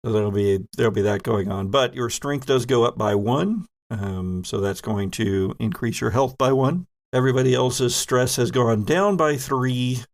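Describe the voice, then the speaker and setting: A man acts as game master for a tabletop role-playing game. His voice sits at a medium pitch, and he narrates vividly, moving between scene-setting and rules. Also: moderate pace, hardly any pauses.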